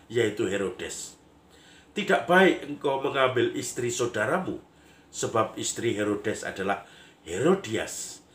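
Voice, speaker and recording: Hoarse priest with a cold, slow. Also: male voice, lowish voice, fairly bright tone, reverberant, slight background noise. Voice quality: husky, a little nasal. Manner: chuckles often, storytelling; playful, mimics lion dance sounds. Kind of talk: homily.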